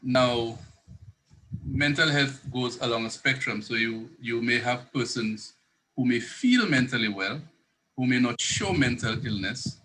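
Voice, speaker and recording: Bright-voiced male psychiatrist. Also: mid-range voice, unhurried, poor audio. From Saint Lucia. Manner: earnest, self-correcting, rephrases as he goes. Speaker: psychiatrist guest. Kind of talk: explanatory interview talk.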